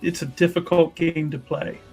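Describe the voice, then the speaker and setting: A man's voice: on the high side, level tone, normal speed, warm tone, husky, faint music behind. A man playing a humble, devout sister in a fantasy tabletop game, polite and formal in speech. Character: humble, devout religious sister, soft-spoken woman.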